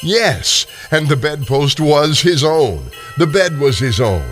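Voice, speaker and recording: A man narrating a scripted classic tale in a theatrical, expressive storytelling style. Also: on the high side, takes it slowly, soft background music.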